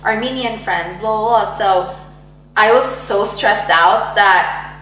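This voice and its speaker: A woman's voice: medium pitch, slow, fairly bright tone. A young woman from Egypt talking casually in an interview, with light laughs and lots of filler words. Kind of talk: casual oral history interview. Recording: roomy echo, distant mic, faint hum.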